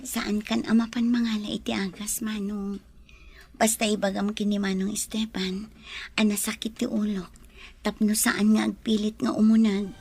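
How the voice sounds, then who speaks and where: An actress playing a gentle, sickly young lady in love, breathy, in a Filipino radio drama. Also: medium pitch, level tone, normal speed. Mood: quiet, firm.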